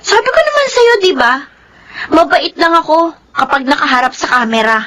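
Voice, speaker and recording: A woman's voice: high-pitched, big pitch swings, moderate pace, a bit bright. A woman playing a spiteful, haughty young actress full of scorn for her sister in a radio drama. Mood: smug.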